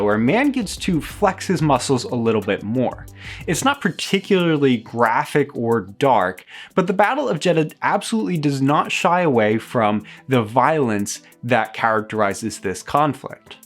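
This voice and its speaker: A man with a fairly high voice delivers a scripted review. His intonation swings widely and he stresses his points with enthusiasm, like a show's host. Music plays underneath him. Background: background music.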